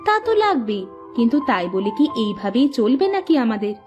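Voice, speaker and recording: A woman's voice: fairly high pitch, big pitch swings, fairly quick, dull audio, over music. A woman playing a caring, hopeful cartoon housewife, homely and coaxing with her husband. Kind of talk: cartoon story dialogue.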